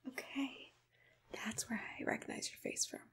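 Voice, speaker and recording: A woman whispers softly and gently in an ASMR roleplay, asking questions in an attentive, breathy voice close to the microphone. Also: moderate pace. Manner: soft-spoken, gentle, attentive personal tone. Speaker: ASMR roleplayer playing a monster matchmaker. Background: computer keyboard typing.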